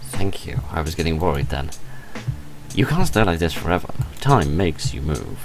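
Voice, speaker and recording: Low-voiced guy reading out a visual novel, playful and dramatic, lively swoops, bit of casual commentary, music behind. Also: normal speed. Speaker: host of a game playthrough channel. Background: game music.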